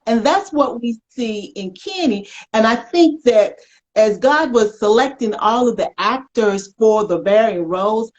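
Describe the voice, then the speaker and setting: An American woman hosting a conversational interview in an animated, folksy, affirming way. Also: on the high side, unhurried. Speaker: talk show host. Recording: dull lo-fi web call audio.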